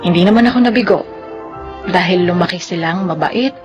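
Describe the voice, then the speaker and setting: A woman's voice: fairly low pitch, brisk, dull audio, lightly acted. A woman playing a hardworking, devoted widowed mother in a Filipino radio drama, earnest and reflective. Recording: clear music behind.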